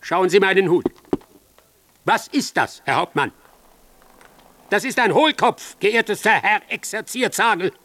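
An actor playing a cold, pedantic, gloating military doctor in a German radio drama, with a clipped clinical manner and a husky voice. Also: male voice, high-pitched, animated, measured pace, pauses often, a bit bright, a little hiss or hum, heavily acted. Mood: mocking.

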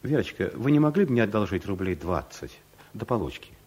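An actor playing a timid, shy office clerk who talks mildly and runs himself down, in a 1970s radio comedy. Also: male voice, on the low side, very animated, fairly quick, a little mellow. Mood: meek. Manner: timid, mild, self-deprecating. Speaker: statistician.

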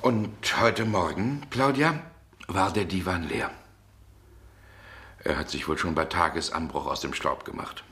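An actor playing an irritable, commanding gentleman, brusque and imperious, in a 1960s German radio drama. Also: low-pitched, unhurried, pauses often. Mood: agitated, grim. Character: irritable, commanding 19th-century gentleman, nervous and domineering.

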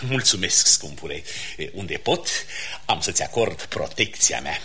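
An actor playing a pompous, condescending, miserly count in a classic comedy for radio theatre. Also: male voice, low voice, animated, sharp tone, breathy edge, full character voice. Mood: haughty, probing. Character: pompous, miserly count who boasts of his patronage.

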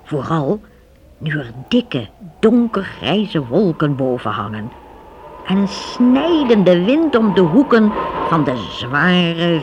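Casting: storybook narrator, unhurried and very animated, old radio recording with slight noise. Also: mid-range voice. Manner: expressive, suspenseful, deliberate.

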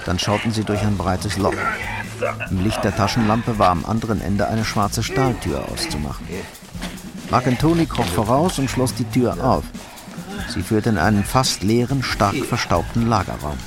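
A man reads dramatic crime narration in a lowish voice with a creaky grain. His telling is measured and matter-of-fact, set over clearly heard music. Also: animated. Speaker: narrator of a crime radio play.

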